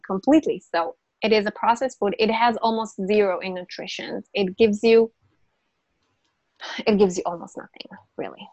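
A woman whose first language isn't English explains food and health in casual, emphatic talk. She repeats words and restarts phrases, in a middle-pitched voice. The sound is dry, with no room echo. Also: moderate pace, a little mellow, lo-fi sound. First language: not English. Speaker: natural health coach, podcast guest.

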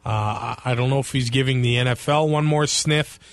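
A man co-hosting a casual sports podcast, talking in a relaxed, halting way with lots of fillers. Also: mid-range voice, no echo. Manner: relaxed, hesitant with many fillers. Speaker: podcast co-host.

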